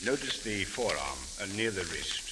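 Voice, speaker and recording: An actor playing a stern, suspicious police captain, speaking formally and deliberately in old radio drama style. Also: male voice, fairly low pitch, sing-song. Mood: grave, probing. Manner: formal, deliberate, uncontracted speech. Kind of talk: 1940s-50s radio detective acting. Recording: hissy old radio recording.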